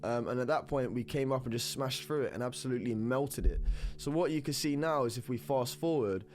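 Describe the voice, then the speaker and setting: A man's voice: medium pitch, a little fast, a little mellow. A man with a stuffy nose from a cold, talking through crypto charts in a rambling, upbeat way and repeating words. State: congested with a cold. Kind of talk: screen-recorded technical analysis talk.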